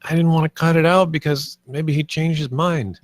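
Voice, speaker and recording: A man's voice: fairly high pitch, steady tone, normal speed, no room sound, studio quality, natural voice. A man at a script table read, playing a polite, earnest theme park project leader. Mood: defensive.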